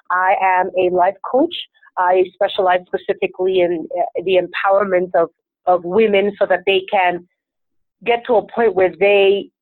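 A woman's voice: mid-range voice, no room sound. A woman from Venezuela whose first language is Spanish, a life coach answering interview questions in an earnest, upbeat, self-assured way. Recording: tinny phone-like line, dry.

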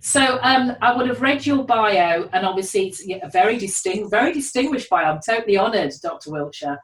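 A woman's voice: medium pitch, lively intonation, fairly quick. A woman hosting an interview in a courteous, affirming manner, often repeating her phrases as she talks. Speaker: interviewer, host. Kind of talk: conversational interview. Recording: echoey room, distant from mic.

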